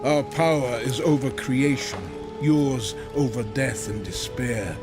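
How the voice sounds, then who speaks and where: An actor playing a solemn, ancient maker in a fantasy video game, speaking in a measured, grave and formal way. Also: male voice, mid-range voice, over music, close to an everyday voice. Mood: solemn, reverent. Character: solemn ancient maker, reverent keeper of forge lore. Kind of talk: video game cutscene acting. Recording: game score behind the voice.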